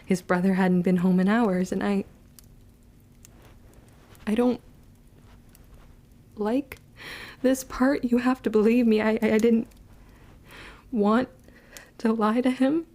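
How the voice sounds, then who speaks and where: A woman acting a wounded, vengeful young witch who narrates her own story in an audio drama, with deliberate, emotive delivery. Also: on the high side, fairly even intonation, dark tone. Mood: sorrowful, guilty.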